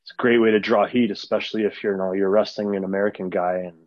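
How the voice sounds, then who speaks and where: Low-voiced man, rambling answers. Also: fairly even intonation, fast talker, dry recording. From Canada. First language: Arabic. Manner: rambling, many fillers and restarts. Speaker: wrestler guest. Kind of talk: casual interview answers. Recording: dull lo-fi dry sound.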